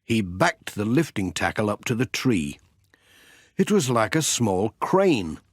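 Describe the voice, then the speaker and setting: A man narrating a children's story as an audiobook reader, with expressive storytelling delivery. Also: lowish voice, a little slow, no room sound, clean studio sound.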